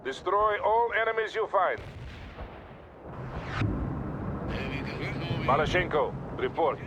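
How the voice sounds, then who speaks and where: An actor playing a stern, weary Soviet colonel in a video game, giving clipped orders with a Russian accent. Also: male voice, high voice, lively intonation, normal speed, lo-fi sound. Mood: commanding. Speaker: military commander in a game. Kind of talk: scripted video game cutscene acting. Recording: loud battlefield noise behind.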